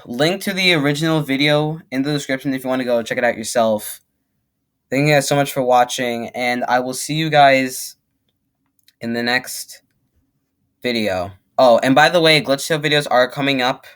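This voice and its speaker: A man's voice: medium pitch, fairly bright tone, clean tone. A young man hosting a reaction video with casual, rambling commentary, emphatic and repeating himself to make a point. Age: young adult.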